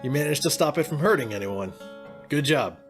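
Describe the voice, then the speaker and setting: A man's voice: fairly high pitch, expressive, a little fast, soft background music, voice pushed up. A man voicing an instructor who gives brief approving praise.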